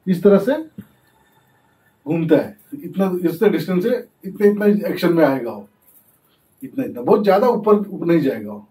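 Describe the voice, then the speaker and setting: High-voiced man teaching from a distance. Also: a little mellow. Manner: explains while demonstrating, mixing in English terms. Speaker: fishing channel host. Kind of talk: casual tutorial demonstration. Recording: echoey, distant from the mic.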